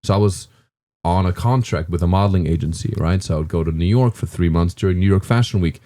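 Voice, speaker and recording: A man in his thirties with a German accent tells rambling stories on a podcast in a dark, mellow voice that sits fairly low. He drifts along loosely, filling his pauses with "uh" and "um" and restarting his sentences as he goes. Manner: rambling storyteller with fillers and restarts. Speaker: guest comedian. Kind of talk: casual podcast chat.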